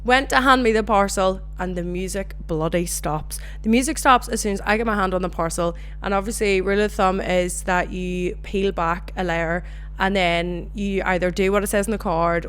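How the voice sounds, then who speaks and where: A young Northern Irish woman hosting her own podcast, chatting casually and telling animated stories. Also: medium pitch, a little hiss or hum. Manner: chatty, animated storytelling with swearing. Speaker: podcast host. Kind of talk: casual solo chat.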